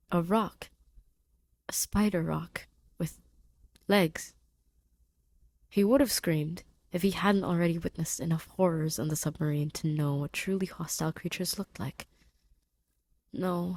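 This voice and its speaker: A woman's voice: fairly low pitch, a little mellow, no echo. A woman narrating a scripted story expressively as a reader of fan fiction.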